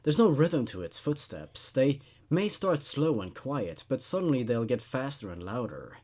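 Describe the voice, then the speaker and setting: A man voicing a haunted, sleepless male patient who speaks in a halting, anxious way in a horror story. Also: medium pitch, very animated, darkish tone. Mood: fearful.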